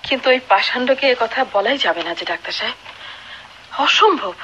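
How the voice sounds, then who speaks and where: An older, protective maidservant in a radio drama. She speaks in a bright voice, agitated and outspoken, with a lamenting turn. There is faint noise on the recording. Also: on the high side, animated, a little fast, highs cut off. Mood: alarmed. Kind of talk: Bengali radio drama acting.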